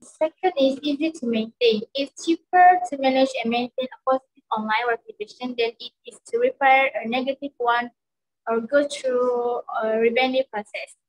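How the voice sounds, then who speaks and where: A young Malaysian woman with a bright, high voice reads her answer point by point, steady and even. She speaks into a laptop on a video call. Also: low-quality recording. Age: young adult. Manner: steady, listing points in order. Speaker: student panelist. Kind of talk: reading a prepared answer. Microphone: laptop microphone on video call.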